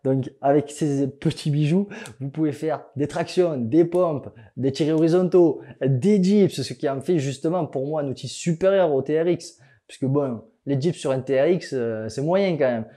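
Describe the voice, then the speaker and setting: A young male fitness coach talks through training gear in a relaxed vlog, joking and filling his pauses with "euh." His voice sits a little high and sounds mellow and soft. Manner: joking, chatty, laughs at himself, many fillers. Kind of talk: casual instructional vlog.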